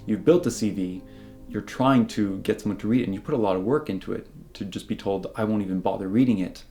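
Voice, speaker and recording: A man giving his opinion in a documentary interview, explaining things in an articulate, measured way. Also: low voice, a little mellow. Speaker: job seeker interviewee.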